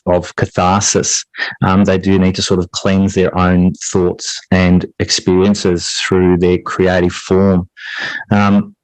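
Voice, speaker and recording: A man with a fairly low voice talks in a reflective interview style. He is earnest and discursive, often repeating a phrase as he works out the thought. The sound is dry, with very little room to it. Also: a little mellow, dry recording, low-quality recording. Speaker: podcast guest, English teacher and writer.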